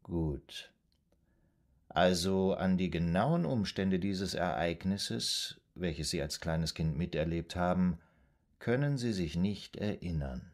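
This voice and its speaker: An actor playing a calm, methodical male psychoanalyst in a radio drama, speaking in a measured, formal, probing manner. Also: low-pitched, heavily acted. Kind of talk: German radio drama acting.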